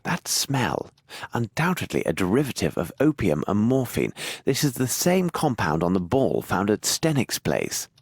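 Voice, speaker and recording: A posh english detective man in a video game, raspy edge, lively pitch, very precise and analytical. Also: moderate pace, heavily acted. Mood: analytical, grave. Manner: precise, formal, deductive. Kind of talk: video game cutscene acting.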